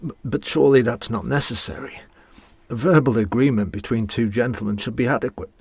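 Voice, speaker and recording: Husky, ailing middle-aged man played by an actor. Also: mid-range voice, no echo. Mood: pleading. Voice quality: sick, husky, coughing voice. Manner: halting, polite, weary. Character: ailing middle-aged office worker, polite, pleading family man. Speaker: actor in an audio drama. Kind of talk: naturalistic audio drama acting.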